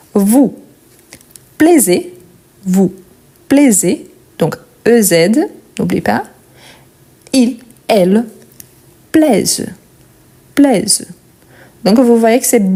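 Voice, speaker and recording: A woman with a non-native French accent leads a live lesson on verb conjugation. Her voice is warm and mellow at a middling pitch. She works slowly, repeating each form twice with small fillers and quick asides. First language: not French. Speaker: French teacher.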